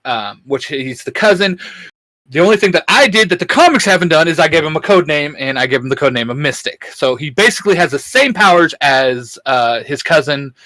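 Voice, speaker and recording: A man co-hosting a geek show in casual fan chat, enthusiastic and halting, often repeating his words. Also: fairly high pitch, lively intonation, sharp tone, no echo. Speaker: co-host of a geek culture show.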